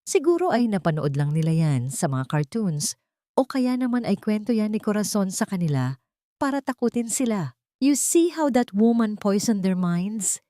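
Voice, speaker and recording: A woman's voice: medium pitch, sing-song, moderate pace, mellow, no echo, voice pushed up, natural voice. A manipulative wealthy wife speaks in a honey-sweet voice that is raised and pleading as she plays the victim, put on by a woman narrator. Her tone is syrupy and self-pitying. The audio-drama recording is dry and close. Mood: dismissive. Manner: wounded, self-pitying pleading mixed with English.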